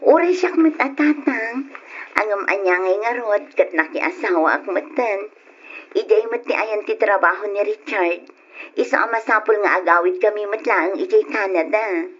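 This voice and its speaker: A woman's voice: medium pitch, normal speed, a bit bright, slightly rough. A woman playing a proud, fussy married woman in an old radio drama. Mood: pleading. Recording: muffled old radio drama recording with music.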